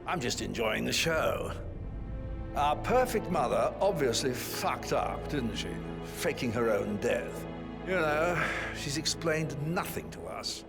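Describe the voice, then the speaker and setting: An actor playing a cynical upper-class British family son in a video game, sardonic, blunt and dismissive. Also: male voice, mid-range voice, animated, slow, gravelly. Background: background music.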